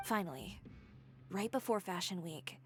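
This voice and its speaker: An actress playing a poised, determined young female fashion designer in a video game, speaking in a measured, earnest way. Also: on the low side, animated, faint music behind, lightly acted. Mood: wistful.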